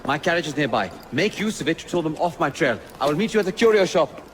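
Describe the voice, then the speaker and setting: A man playing a courteous, earnest shopkeeper spymaster in a video game, speaking in a measured, formal way. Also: a little high, lively intonation, a bit bright, slight background noise. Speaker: mentor, shop keeper. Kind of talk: video game cutscene acting.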